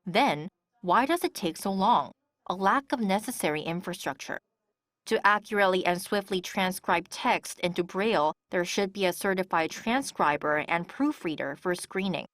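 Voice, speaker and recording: A woman's voice: on the low side, lively intonation, normal speed, fairly bright tone, no room sound. A woman reporter delivering scripted narration for a television news feature.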